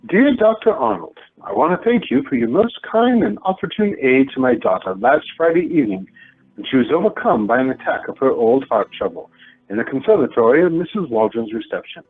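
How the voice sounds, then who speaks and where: A man's voice: medium pitch, big pitch swings, a little fast. A man playing a courteous, wry storyteller and letter reader in a radio play. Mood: courteous, grateful. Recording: narrow phone-like sound, faint music.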